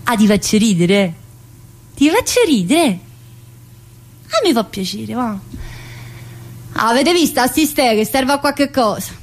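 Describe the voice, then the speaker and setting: A woman's voice: on the high side, expressive, unhurried, long pauses. An actress playing a restless, needy, brash woman prisoner in a theatrical monologue, speaking colloquial, dialect-laced Italian with a southern accent. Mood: teasing, amused. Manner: colloquial, dialect-laced, addressing an unseen teacher.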